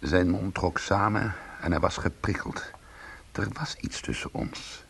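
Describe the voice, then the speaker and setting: A man playing a bitter, defiant ex-convict in a Dutch radio play, with a gravelly, husky voice and a sardonic manner. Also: sits low, measured pace, exaggerated voice. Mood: tense, confiding. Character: bitter, defiant male ex-convict trying to go straight. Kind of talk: Dutch radio drama dialogue and narration.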